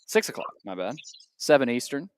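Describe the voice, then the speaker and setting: A man's voice: medium pitch, sing-song, moderate pace. An American man co-hosting a radio show in a casual, conversational sports talk style. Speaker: radio co-host.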